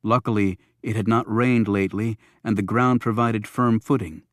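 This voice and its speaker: Guy reading a thriller audiobook, lowish voice, really dry sound. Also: fairly even intonation, moderate pace, no echo. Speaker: audiobook narrator. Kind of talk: scripted thriller audiobook narration.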